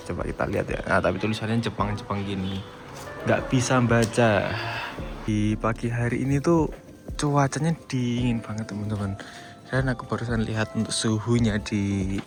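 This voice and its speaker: A man vlogging a trip in a casual, chatty way, speaking to his viewers as friends while he walks through train stations. His voice sits low, and a clear music track plays beneath it throughout. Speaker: travel vlogger. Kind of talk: casual travel vlog narration.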